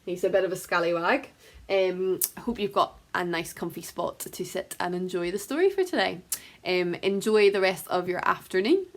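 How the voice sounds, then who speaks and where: A woman reading aloud to young children as an expressive picture-book storyteller. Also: fairly low pitch, moderate pace.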